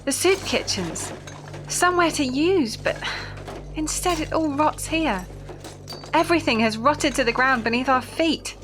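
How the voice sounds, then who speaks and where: Resolute Victorian nurse for a zombie audio drama, female actor: high, lively range, earnest, commanding, scored with music. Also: measured pace. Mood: indignant.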